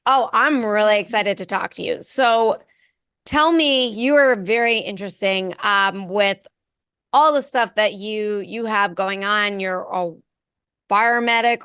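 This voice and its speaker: A woman's voice: medium pitch, unhurried, a bit bright, clean tone, dry recording, clean studio sound. A woman hosting a podcast, reading a guest introduction and then asking questions with an eager, filler-laden manner. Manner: enthusiastic, many um and uh fillers when asking. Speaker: podcast host. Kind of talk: scripted intro then casual chat.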